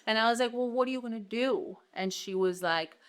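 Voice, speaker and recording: A woman's voice: fairly high pitch, a little fast. A young woman with a Brooklyn accent, a real estate agent telling stories in a casual interview and acting out the dialogue. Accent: Brooklyn New York. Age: young adult. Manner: animated, acts out quoted dialogue.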